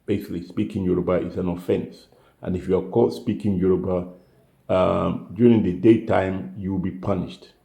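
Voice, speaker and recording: Older man, vlogger, mellow dark tone, echoey room, rambling casual talk. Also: low-pitched, fairly even intonation, moderate pace, gravelly. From United Kingdom. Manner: rambling, self-correcting, chatty. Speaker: retiree vlogger.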